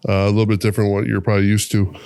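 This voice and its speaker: A man's voice: on the low side, completely flat delivery, racing, a little mellow, clean tone. A man hosting a podcast interview, easygoing and good-humored as he asks his guest questions and trades jokes with her. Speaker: podcast host.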